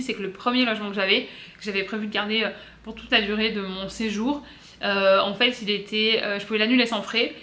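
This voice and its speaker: A woman from south-east France with a French accent, giving casual vlog advice in a chatty, halting way with frequent self-corrections. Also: medium pitch, pushed and bright. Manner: chatty, hesitant, self-correcting with many pauses. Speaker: vlogger. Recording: roomy echo.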